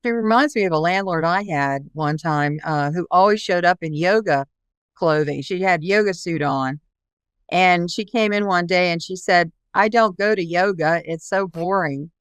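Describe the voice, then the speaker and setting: A woman's voice: lowish voice, moderate pace, clear, resonant tone, no room sound, studio quality. A woman hosting an interview in a casual, conversational style. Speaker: interview host.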